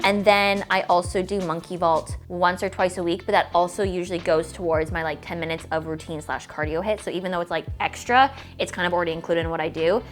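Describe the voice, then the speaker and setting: A 29-year-old woman, a fitness creator, talking casually and at length, peppering her speech with fillers and gushing over cute toys. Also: on the low side. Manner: chatty and rambling, full of "like" and "okay?"; gushing, squealy delight over little toys. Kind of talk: casual vlog Q&A and unboxing.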